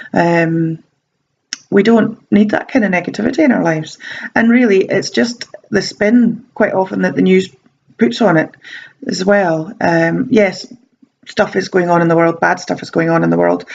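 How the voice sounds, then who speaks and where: A woman's voice: fairly low pitch, lively intonation, moderate pace, creaky, muffled recording, a little hiss or hum. A woman with a slight sore throat giving casual self-help advice, chatty and meandering with frequent ums and restarts. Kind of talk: casual talking-to-camera advice.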